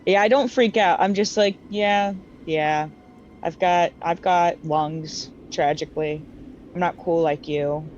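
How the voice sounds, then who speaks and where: A woman's voice: fairly low pitch, fairly even intonation, slow pace, a little mellow, highs cut off, slight background noise. A woman playing a tabletop game, chatting casually and speaking in character. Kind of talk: casual in-character roleplay chat.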